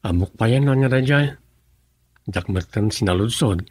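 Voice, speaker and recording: An actor playing an earnest, lovelorn young provincial farmer in an Ilocano radio drama, with an Ilocano accent. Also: male voice, fairly low pitch, moderate pace, darkish tone, husky. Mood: earnest, worried.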